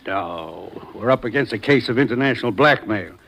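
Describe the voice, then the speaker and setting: An actor playing a stern, businesslike American government commissioner in 1950s radio drama, speaking with clipped authority. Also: male voice, mid-range voice, animated, moderate pace, gravelly, a bit bright, slight background noise. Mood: grave, businesslike. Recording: dull old radio recording.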